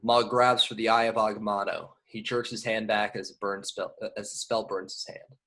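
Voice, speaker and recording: A man's voice: lowish voice, level tone, moderate pace, a bit bright. A man narrating scripted action directions in an amateur table read of a film. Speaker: narrator reading stage directions.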